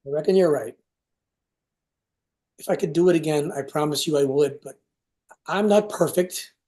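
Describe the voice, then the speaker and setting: An actor as a dark-voiced, remorseful father. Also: on the high side, normal speed, close to an everyday voice. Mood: earnest, apologetic. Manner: earnest, pleading, apologetic. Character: remorseful middle-aged father pleading with his estranged adult son. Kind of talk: staged reading of a contemporary play.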